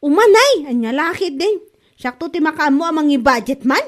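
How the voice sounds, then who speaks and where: A high-pitched, showy married woman with an Ilocano accent , acted by a woman in a comedy drama. She brags and exclaims, flaunting what she has and pushing to get her way. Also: lively intonation, normal speed. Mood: insistent. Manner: bragging, exclamatory.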